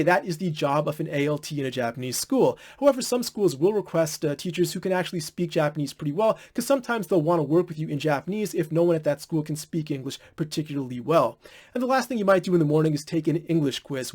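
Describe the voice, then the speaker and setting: A young American man talks straight to the camera at a brisk clip, frank and unvarnished, with now and then a curse word. His voice sits a little high for a man. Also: darkish tone. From United States. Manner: blunt, candid, occasional swearing. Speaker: vlogger. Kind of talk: informal advice monologue.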